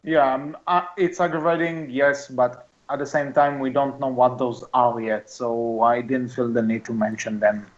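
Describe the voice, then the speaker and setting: A man's mid-pitched voice in a dull, lo-fi recording. He is a podcast co-host discussing movies casually with friends. He speaks with firm opinions and keeps stopping to restart his phrases. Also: steady tone. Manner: halting, often repeats and restarts phrases.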